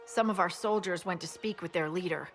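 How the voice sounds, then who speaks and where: A woman's voice: fairly low pitch, a little fast, soft background music, close to an everyday voice. An actress playing a dutiful female scout giving reports in a fantasy video game, plain and respectful. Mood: concerned, reporting. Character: female soldier scout, dutiful, briefing her superior. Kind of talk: video game character dialogue.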